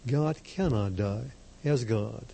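Slow, gravelly-voiced priest preaching. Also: male voice, mid-range voice, dark tone. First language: Slovak. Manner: emphatic, measured, repeats key phrases. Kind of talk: slow deliberate sermon.

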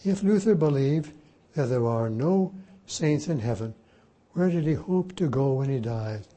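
A man's voice: medium pitch, expressive, unhurried, dark tone. An older man, a Jesuit priest whose first language was Slovak, teaching a class informally and spelling words out letter by letter. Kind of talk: informal lecture and Q&A.

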